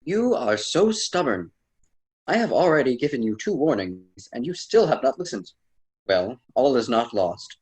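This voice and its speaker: A man playing a wise, admonishing storyteller mentor in an amateur radio drama, formal and slightly husky. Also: mid-range voice, sing-song, a little slow, a little mellow. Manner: formal, solemn, didactic.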